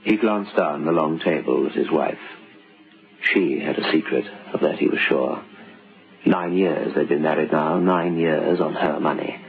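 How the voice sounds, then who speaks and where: An actor narrating an old-time radio suspense tale as an ominous storyteller. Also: male voice, a bass voice, a little slow, vocal fry, phone-quality sound, heavily acted. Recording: band-limited, slightly noisy old radio recording.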